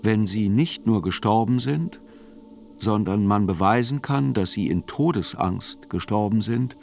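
An actor as a sober chronicler, narrating a radio drama in reflective, deliberate literary phrases. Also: male voice, lowish voice, gravelly, darkish tone. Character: sober male chronicler narrating a criminal trial.

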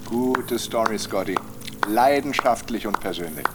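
An actor playing a mocking, moody, reckless adventurer in a radio drama, with a sardonic delivery. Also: male voice, on the low side, unhurried, very noisy, close to an everyday voice. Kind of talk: theatrical radio drama acting.

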